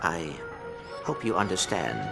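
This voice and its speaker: A man voicing an earnest, loyal little robot with a formal, precise, gentle manner in a video game cutscene. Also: low-pitched, big pitch swings, a little slow, creaky, exaggerated voice. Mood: regretful. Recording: music behind the voice.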